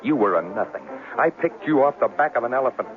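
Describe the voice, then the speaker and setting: A man's voice: medium pitch, fast, faint music behind, close to an everyday voice. An actor playing a cocky, hot-tempered and boastful leading man in a radio drama, with a brash, punchy delivery. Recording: tinny old radio broadcast.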